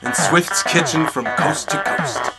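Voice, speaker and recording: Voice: medium pitch, a little slow, loud music behind the voice, rough recording. An announcer reading an old-time radio commercial in an earnest, salesy way.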